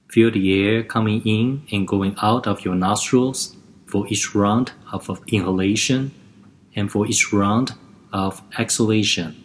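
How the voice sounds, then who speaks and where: Very slow, low-voiced calm man. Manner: calm, gentle step-by-step instructions. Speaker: relaxation instructor. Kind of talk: guided relaxation and breathing instruction.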